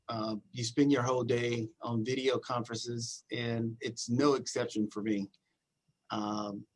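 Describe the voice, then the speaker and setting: A man's voice: mid-range voice, low-quality recording. A middle-aged man, a university dean, giving informal welcoming remarks over a video call, rambling and often repeating himself. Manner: rambling, repeats words and phrases. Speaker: university dean, guest speaker.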